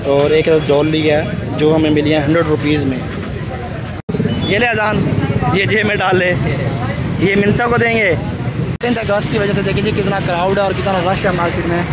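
A young man from Pakistan talks in a fairly high, casual voice as he vlogs through a crowded outdoor bazaar. The camera is held at arm's length, and loud street noise and traffic sit under everything he says. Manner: chatty, bargains insistently with shopkeepers. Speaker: vlogger. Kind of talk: casual vlog narration and haggling. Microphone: handheld camera at arm's length.

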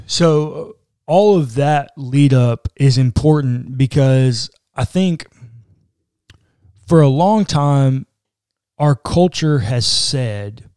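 A man in his thirties with a dark, mellow voice, co-hosting a relaxed podcast conversation. He muses aloud with plenty of "like"s, recorded close on a studio mic in a dry, small room. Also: medium pitch, slow pace, smooth, no echo. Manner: reflective, talks it through with frequent "like". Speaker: podcast co-host. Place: small room indoors, seated in armchair. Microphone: boom-arm studio mic close in front.

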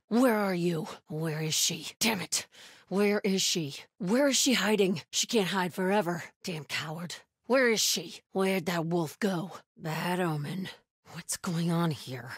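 A woman's voice: lowish voice, husky, no room sound, clean studio sound, full character voice. An actress playing a fierce, devout female cult soldier in a video game, calling out short clipped combat lines. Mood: tense, searching. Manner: short clipped callouts, from hushed to shouted. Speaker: video game enemy character.

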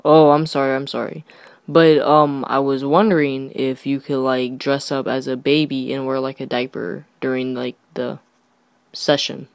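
A teenage boy making a casual prank phone call in a hesitant, halting way. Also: mid-range voice, level tone, vocal fry, clean tone, dry recording. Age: teenager, fifteen. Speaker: prank caller.

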